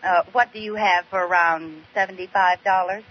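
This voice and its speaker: An actress playing a scatty, eager housewife who is comically flustered and expressive, in an old radio sitcom style. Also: female voice, lowish voice, moderate pace, lightly acted. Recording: muffled, noisy vintage radio recording.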